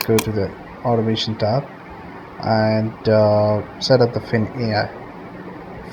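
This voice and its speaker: A man hosting a step-by-step software tutorial, narrating instructions to viewers. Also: lowish voice. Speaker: tutorial host. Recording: muffled lo-fi audio with clear background noise.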